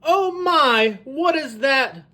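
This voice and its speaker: A storyteller voicing an excitable, bossy duck who scolds and fusses in a children's picture book. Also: high voice, extremely slow, pitched above the performer's own voice, heavily acted. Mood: huffy, scolding. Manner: exclamatory, scolding, fussy.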